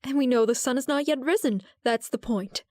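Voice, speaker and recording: A gracious pony monarch, played by a woman, with a high voice and a dry, close sound. She is gently playful, in the style of a cartoon audio drama. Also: brisk, no room sound, natural voice.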